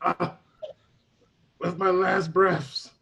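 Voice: medium pitch, little pitch movement, extremely slow, creaky, sharp tone, husky, exaggerated voice. An actor playing a wary, streetwise small-time male informant in a comic old-time radio play, slangy and tough-talking. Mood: dying, strained.

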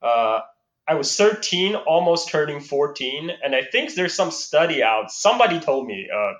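A man hosting a casual interview podcast, speaking with a slight Chinese accent, halting and correcting himself as he goes. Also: a little high, sing-song. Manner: halting, with fillers and self-corrections. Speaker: podcast host. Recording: roomy and slightly dull.

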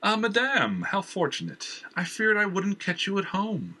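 Brief: male narrator doing a smug, lilting theater boss, husky and bright, storybook reading. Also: high voice, big pitch swings, a little slow, pitched above the performer's own voice, full character voice. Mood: mocking. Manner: mocking, falsely wounded.

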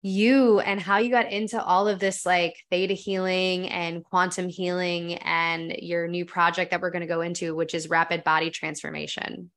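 A woman's voice: on the low side, little pitch movement, moderate pace, few pauses, a bit bright. A woman hosting a podcast interview, talking with enthusiasm and a lot of filler words. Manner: enthusiastic, lots of filler "like" and "really". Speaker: podcast host.

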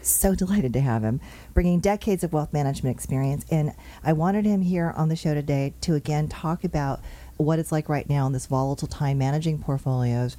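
Woman, show host, low pitch, mellow tone, brisk and upbeat, reels off numbers, studio. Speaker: show host and real estate agent. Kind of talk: chatty show monologue on market figures.

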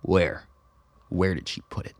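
A man playing a gruff, suspicious older father in a radio play, with a terse, profane, guarded manner. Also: a little slow, dry recording, close to an everyday voice. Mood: suspicious, stern. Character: gruff, suspicious old father on a covert mission.

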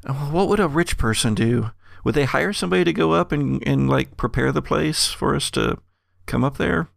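A man's voice: a little high, normal speed, gravelly, dry recording, clean studio sound, voice pushed up. A man voicing an anxious, unsure young woman in a tabletop game, with a hesitant, questioning, rambling manner. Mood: tentative.

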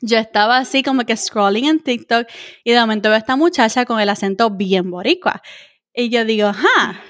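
A woman interviewer with a Puerto Rican accent and a fairly high voice. She talks in a chatty, encouraging way, dropping in English words. The sound is dry, with very little room in it. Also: fairly quick, fairly bright tone, no echo. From Puerto Rico. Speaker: interviewer, host. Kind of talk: casual conversational interview.

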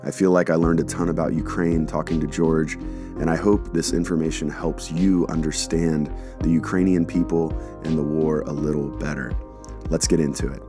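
Deep-voiced man hosting over guitar music. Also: level tone, normal speed. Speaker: podcast host and pastor. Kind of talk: scripted podcast intro.

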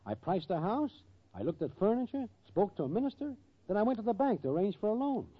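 An actor playing a shiftless, streetwise boyfriend in a 1940s radio sitcom, glib, slangy and evasive. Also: male voice, high voice, sing-song, fast, husky, exaggerated voice. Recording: dull vintage 1940s radio recording.

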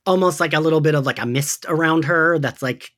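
A man's voice: on the high side, brisk, a bit bright. A man hosting a casual recap podcast, speaking in an enthusiastic, gushing way. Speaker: podcast host. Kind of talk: casual podcast chat recapping an audio drama.

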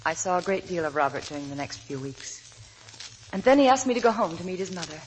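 An actress playing an earnest, shy young working woman, tender and wistful, speaking softly in a 1940s radio drama. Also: fairly low pitch, quick pace, slight background noise, lightly acted, low-quality recording. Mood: wistful, reminiscing. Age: young adult. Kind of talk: 1940s radio drama acting, dialogue and narration. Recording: vintage 1940s radio broadcast, music behind.

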